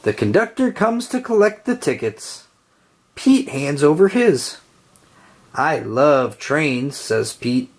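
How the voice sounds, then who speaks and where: A woman reading a children's picture book aloud in a gentle storytelling way. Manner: slow, gentle storytelling with lively pitch. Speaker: storybook reader.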